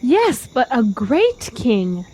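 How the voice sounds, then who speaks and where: A woman's voice: a little high, huge pitch swings, drawn-out words, mellow, slight background noise, exaggerated voice. A woman playing a naive, yearning young traveler in a fantasy audio drama, speaking in a wide-eyed, wistful, formal way. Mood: awed.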